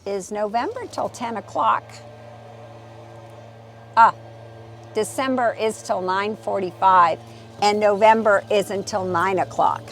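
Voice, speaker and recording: A woman's voice: medium pitch, slow, pauses often, slight background noise. A woman real estate agent giving a casual, friendly walking tour of a lakeside community outdoors, telling stories as she goes. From California. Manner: friendly, chatty storytelling. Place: outdoors on a lakeside golf course trail.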